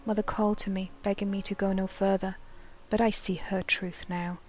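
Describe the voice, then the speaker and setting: A woman's voice: medium pitch, flat delivery, a little mellow, close to an everyday voice. Ominous, obsessed villainess voiced by a woman narrator over music. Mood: ominous resolve. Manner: ominous, deliberate, intense. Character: obsessed, menacing female plant-being villain reading her journal.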